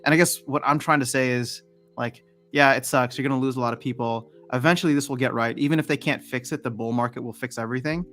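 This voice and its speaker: A man's voice: mid-range voice, steady tone, quick pace, fairly bright tone, soft background music. A man hosting a livestream, chatting casually and rambling with frequent restarts. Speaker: livestream host. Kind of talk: casual chat.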